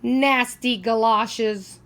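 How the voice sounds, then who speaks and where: A woman voicing a curt, complaining old man in a children's picture book, with emphatic, drawn-out grumbles. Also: a little high, steady tone, a bit bright, exaggerated voice. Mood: grumpy, irritated.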